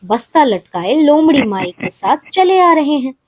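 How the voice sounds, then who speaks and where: A woman narrating a scripted children's story in an expressive, measured way. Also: sits high, slight background noise.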